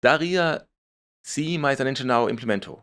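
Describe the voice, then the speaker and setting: A man's voice: medium pitch, animated, normal speed, a bit bright, clear, resonant tone. A man with a German accent, a startup founder speaking as a guest, searching for words and restarting his phrases. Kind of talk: conversational interview.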